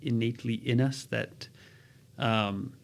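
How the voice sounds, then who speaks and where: A man's voice: mid-range voice, fairly even intonation, slow, creaky. A man speaking as an expert guest, explaining linguistics conversationally with examples and questions, in a didactic way.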